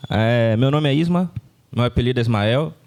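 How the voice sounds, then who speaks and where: A Brazilian man from the interior of Bahia, a head of engineering, introducing himself casually and haltingly at a meetup. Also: mid-range voice, darkish tone, slight background noise.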